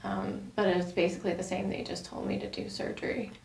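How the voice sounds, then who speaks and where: A woman's voice: mid-range voice, level tone, fast, gravelly, a little mellow. A woman in her thirties speaking casually as a patient describing her medical history. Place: indoor chiropractic office. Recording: roomy, distant, faint office noise.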